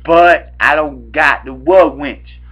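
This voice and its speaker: A man's voice: on the high side, slow pace, breathy edge. Rambling young Southern man, muffled sound. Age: young adult. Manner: rambling, repetitive, stammering. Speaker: prank caller. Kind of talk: improvised prank phone call. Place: small room at home, indoors. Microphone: webcam at arm's length. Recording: dull, lo-fi webcam audio.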